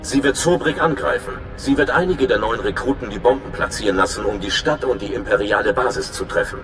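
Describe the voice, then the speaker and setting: A man's voice: on the low side, brisk, reverberant, background noise, close to an everyday voice. A man playing a nervous, friendly young undercover informant in a video game, chatty and informal with a touch of anxiety. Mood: earnest, eager. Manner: chatty, informal, slightly anxious. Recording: clear music behind the voice.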